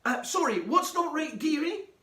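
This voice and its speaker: A man voicing an airy, flouncy mother with a high, sing-song, dreamy way of talking. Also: big pitch swings, normal speed, bright, forceful tone, echoey room, far from the mic, full character voice.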